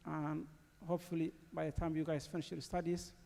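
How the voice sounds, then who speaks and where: Somali man, think tank panelist, gravelly and halting, reverberant hall. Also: a little high, little pitch movement, warm tone. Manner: halting, many fillers. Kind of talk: personal panel statement. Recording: long reverb of a large hall.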